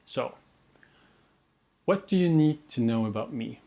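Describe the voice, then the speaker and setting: A man's voice: medium pitch, lively intonation, a little slow, creaky, mellow. A young adult man with an Austrian German accent, speaking English as a second language as he introduces his interview series in an earnest, self-deprecating way, often restarting words. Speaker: host and researcher. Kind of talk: scripted personal introduction to camera.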